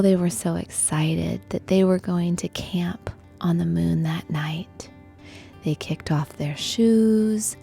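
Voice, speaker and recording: Slow, breathy woman telling a bedtime story. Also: deep voice. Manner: gentle, soothing, hushed and breathy delivery. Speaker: children's guided meditation storyteller. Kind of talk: bedtime story narration, guided relaxation.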